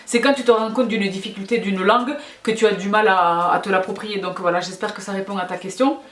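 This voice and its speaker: A woman's voice: medium pitch, fairly even intonation, fairly quick, a bit bright. Woman, casual video host, affectionate, emphatic, repeats herself, echoey room sound. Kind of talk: casual question-and-answer chat to followers.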